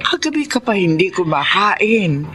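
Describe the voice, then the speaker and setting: A woman's voice: mid-range voice, very animated, moderate pace, over music, lightly acted. An actress playing a caring, worried middle-aged mother in a radio drama, fussing and exclamatory. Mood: coaxing concern.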